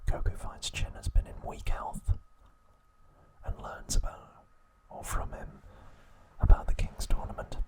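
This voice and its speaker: A man hosting ASMR, narrating in a hushed, soothing, breathy whisper at an unhurried pace. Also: rough recording. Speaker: ASMR host.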